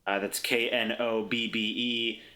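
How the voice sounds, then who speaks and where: A man with a bright, sharp-edged voice of middling pitch talks slowly and haltingly. He chats casually as a podcast player, a little self-deprecating. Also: little pitch movement. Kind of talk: casual chat.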